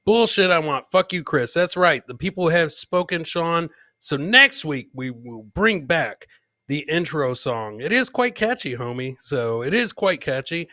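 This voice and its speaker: A man with a bright, forceful, slightly high voice hosts a comedy livestream, getting over a cold. He talks in casual slang and hammers phrases by repeating them. The sound is close and dry. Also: lively intonation, unhurried, no room sound. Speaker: podcast host.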